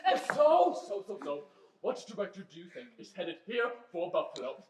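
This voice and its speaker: A teenage boy playing an excitable, melodramatic husband and father in a broad stage farce. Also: high-pitched, moderate pace. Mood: coaxing. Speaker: stage actor in a school play. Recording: echoey stage recording, clear background noise.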